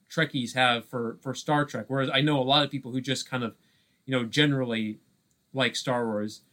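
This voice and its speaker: A man's voice: fairly high pitch, fairly bright tone. A man co-hosting a podcast, talking casually about film in a hesitant, circling way with many restarts. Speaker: podcast co-host.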